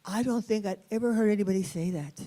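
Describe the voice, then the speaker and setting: A woman's voice: medium pitch, fast talker, mellow. A woman storyteller from East Los Angeles, with an accent from there, telling a personal story expressively, weaving in Spanish words and translating them.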